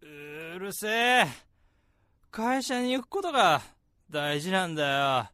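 A young man acting a hearty, easygoing salaryman mentor with casual, rough speech in a radio drama. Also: sits high, sing-song, slow pace, pushed and bright, no echo, clean studio sound, heavily acted. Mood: drunk, grumbling. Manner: casual, rough, blokey senior-to-junior speech. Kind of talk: radio drama acting with first-person narration. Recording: music behind the voice.

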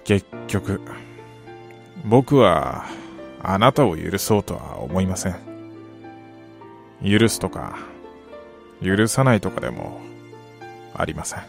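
An older radio drama character with a crackling, slow delivery. He is calm, polite and unforgiving, measuring out each phrase with wide swings of intonation. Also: low-pitched, gravelly, faint music behind, exaggerated voice. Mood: grave, restrained.

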